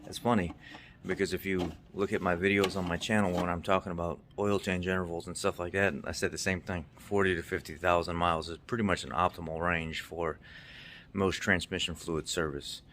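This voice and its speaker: A man's voice: low-pitched, little pitch movement, brisk, fairly bright tone, a little hiss or hum. A middle-aged man giving a casual, unscripted recap as a mechanic hosting a video, stressing his points by repeating them. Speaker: car maintenance video host, mechanic.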